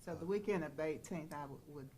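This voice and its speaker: Low-voiced woman commissioner. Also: dark tone, slight background noise. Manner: deliberate, restarts sentences. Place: council chamber.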